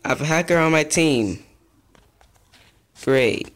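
A man's voice: on the high side, slow. Chatty young man narrating his gameplay. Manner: chatty, rambling, easily excited. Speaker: gaming youtuber. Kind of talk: casual game commentary.

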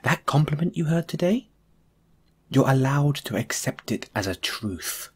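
A man with a very breathy, husky voice speaks slowly and gently, as in a comfort audio roleplay. His mid-pitched voice rises and falls expressively, slipping toward a whisper, intimate and reassuring throughout. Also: lively intonation. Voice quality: breathy, hushed, at times whispering.

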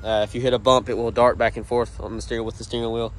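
Young male car vlogger, plain-spoken and unscripted, mid-pitched voice, phone audio with light outdoor noise. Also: flat delivery, fast. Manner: plain-spoken, rambling, swears casually. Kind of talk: casual unscripted vehicle walkaround. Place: outdoors in a driveway and at open car door. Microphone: handheld phone. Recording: outdoor handheld recording, ambient noise.

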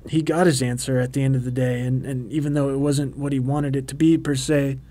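A man in his thirties giving a rambling, relaxed behind-the-scenes commentary with quaintly formal turns of phrase, full of ums and uhs. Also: mid-range voice, brisk. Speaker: puppeteer and co-host of a commentary track. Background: faint background music.

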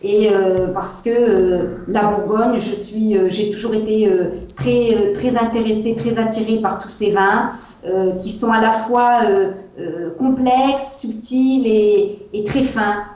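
Invitée au ton hésitant: une femme à l'accent provençal, dans un appel vidéo à l'écho très marqué. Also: female voice, mid-range voice, takes it slowly, dark tone. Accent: Provençal French. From France. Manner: hesitant, many fillers. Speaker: guest, wine seller. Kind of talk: interview over video call. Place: small room at home, indoors. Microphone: earbuds on a video call. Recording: echoey room sound over a video call.

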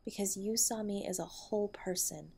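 A woman's voice: medium pitch, normal speed, vocal fry, mellow, breathy edge. A woman playing a tender, protective wife in an intimate audio roleplay, soothing and affectionate as she comforts her husband. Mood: gentle reassurance.

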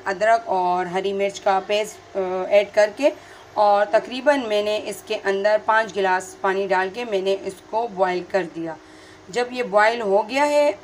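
A woman with a hoarse, sore throat, chatting straight to viewers as she hosts a casual home cooking tutorial, filmed on a phone in her kitchen. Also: mid-range voice, a little hiss or hum, poor audio. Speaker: cooking channel host.

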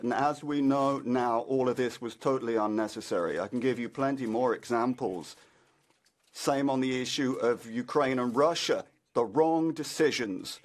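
A man interpreting a combative political speech from an interpreter's booth. His voice is mid-pitched, and he stumbles over fillers before rushing ahead. He keeps a plain, even tone. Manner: halting, with frequent "uh" fillers, then quickening bursts. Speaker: simultaneous interpreter.

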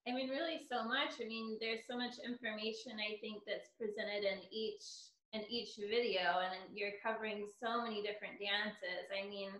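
A woman's voice: mid-range voice, fairly even intonation, normal speed, hardly any pauses, fairly bright tone. Earnest woman on an echoey call. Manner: earnest, thinks aloud with many "I mean" and "you know". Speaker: dance teacher, guest. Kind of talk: casual video-call interview. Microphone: video call. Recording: echoey room over video call.

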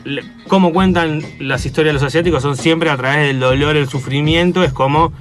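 A man's voice: a little high, brisk, faint music behind. A man with an Argentine accent chatting casually as a radio columnist, hesitant and searching for words. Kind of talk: casual explanatory chat.

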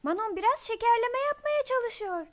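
Very high-pitched fussy cartoon animal. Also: female voice, expressive, moderate pace. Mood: bossy, annoyed. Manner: scolding, pleading. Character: fussy, protective little farm animal guarding a napping friend. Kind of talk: children's cartoon voice acting. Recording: music behind the voice.

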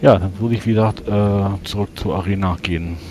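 A man with a fairly low voice plays a tabletop role-playing game. He works out his moves aloud in a casual, tentative way. There is faint noise on the recording. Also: fairly even intonation, a little fast, mellow. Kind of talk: casual tabletop play, describing own actions.

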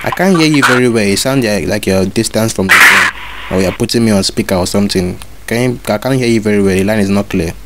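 A low-voiced man rattles off demands at a rapid clip over a phone line full of hiss. He plays a fake inspector, bossy and relentless, repeating the same orders again and again. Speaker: prank caller posing as a school inspector. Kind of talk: prank phone call.

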